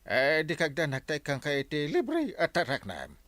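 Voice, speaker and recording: A man playing an excitable, scolding but forgiving village captain in an audio drama, with an Ilocano accent. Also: a little high, normal speed, no room sound. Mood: startled, agreeable. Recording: very noisy track with background ambience.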